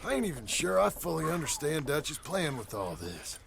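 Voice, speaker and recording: A rough young outlaw talks in an animated, creaky American Western voice. He sounds doubtful and plain-spoken, a game-drama family man, with ambient noise behind. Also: a little high, normal speed. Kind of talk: dramatic video game cutscene acting.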